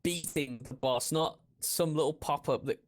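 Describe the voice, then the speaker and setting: A man with a fairly high voice speaks as a podcast panelist. His gaming chat is informal and blunt. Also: moderate pace.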